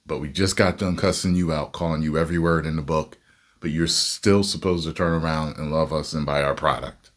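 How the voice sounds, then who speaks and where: A man's voice: rumbling low, brisk. A man hosting a reaction video, chatting casually and laughing often between points. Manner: laughs often, animated asides. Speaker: reaction host.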